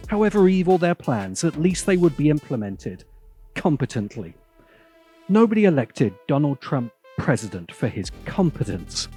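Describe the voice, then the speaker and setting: A British man narrating a scripted opinion video essay as its host, with a sardonic, theatrical and deliberate delivery. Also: a little high, creaky, a little mellow.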